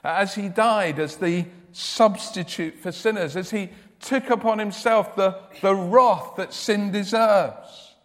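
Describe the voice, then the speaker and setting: A man preaching a sermon with a loud voice, emphatic and given to repeating his words as he starts a sentence. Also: high-pitched, slow, few pauses, in a large hall.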